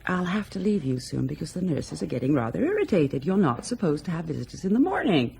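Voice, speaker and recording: Brisk, caring journalist, creaky voice. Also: female voice, deep voice, big pitch swings, mellow, heavily acted. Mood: evasive, brisk. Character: brisk, caring woman journalist, old flame of a pilot. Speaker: radio drama actor. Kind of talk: naturalistic radio drama dialogue.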